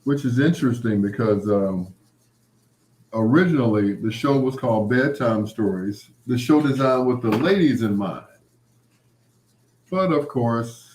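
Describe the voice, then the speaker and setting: Guy with a lowish voice doing a laid out rambly late night show monologue, real echoey. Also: a little mellow. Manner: conversational, anecdotal, quoting past exchanges. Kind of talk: casual rambling monologue to listeners. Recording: roomy, echoing sound.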